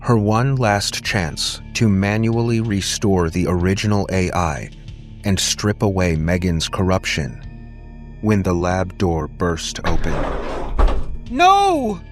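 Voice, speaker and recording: A man reading a scripted story as a dramatic audiobook narrator, deliberate and suspenseful. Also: low-pitched, fairly even intonation, faint music behind.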